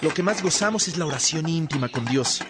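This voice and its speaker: A man's voice: a little high, lively intonation, fairly quick, background noise, lightly acted. A man acting as an earnest churchman in a radio drama. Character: Evodio, earnest male churchman among brothers in faith.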